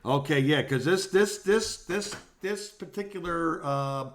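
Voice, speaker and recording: A man co-hosting a podcast in casual conversation, telling things in an animated way and often repeating himself. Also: fairly high pitch, a little slow. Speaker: podcast co-host.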